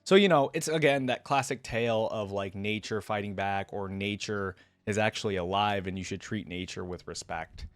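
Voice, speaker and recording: A man's voice: on the low side, normal speed, hardly any pauses, dry recording. A man giving casual, rambling reaction commentary, peppered with "like" and "you know". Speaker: YouTube reaction host. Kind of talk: casual reaction commentary and read-aloud story.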